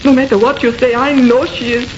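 A woman acts a passionate, jealous French sweetheart, dramatic and superstitious, with a French accent and broken English. Her fairly high voice comes through a dull, noisy old radio recording. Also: level tone, fairly quick, natural voice. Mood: fearful. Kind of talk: old-time radio horror acting.

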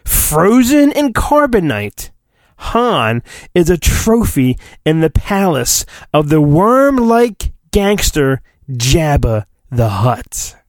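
A man's voice: fairly high pitch, sing-song, slow pace, clean studio sound. A middle-aged American man reading a storybook dramatically and breaking in with informal, wisecracking asides, recorded on his phone. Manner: informal, wisecracking, self-interrupting. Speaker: podcast host. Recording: homemade phone recording, roomy echo, faint music.

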